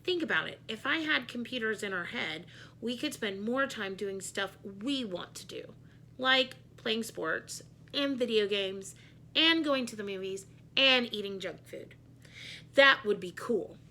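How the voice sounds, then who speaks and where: A woman's voice: on the high side, expressive, measured pace, gravelly, sharp tone, slight background noise, exaggerated voice. A woman reading a children's book as a wisecracking schoolboy who hates school. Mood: sardonic, dreamy. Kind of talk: children's book read-aloud.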